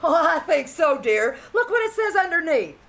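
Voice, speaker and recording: A high, animated woman's voice plays a sweetly teasing wife in an old radio sitcom. Her lines lilt with wry emphasis. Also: normal speed, gravelly, exaggerated voice. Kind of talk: 1940s radio sitcom acting.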